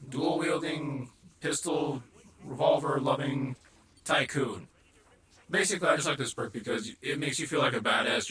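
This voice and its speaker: A man's voice: medium pitch, fairly bright tone, roomy sound, slight background noise, low-quality recording. A man giving casual gaming commentary in a chatty, repetitive way, his voice sounding robotic. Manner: chatty, keeps repeating "not gonna lie". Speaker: gaming video creator.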